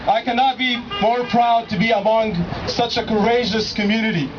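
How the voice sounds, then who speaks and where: A man's voice: very high voice, fairly even intonation, moderate pace. A young Syrian man with a Syrian accent gives an impassioned protest speech at an outdoor rally, speaking into a handheld microphone. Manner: impassioned, rousing, leading chants. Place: outdoor city plaza at a rally. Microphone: handheld microphone through a PA, recorded by a camera from a distance. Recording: distant, dull camera audio with street noise.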